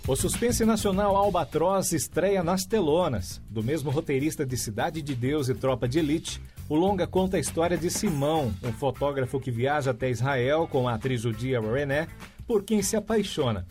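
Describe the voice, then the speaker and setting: A male radio announcer with a Brazilian accent reads scripted copy. His voice sits somewhat high, over a music bed. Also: animated, normal speed, a little mellow.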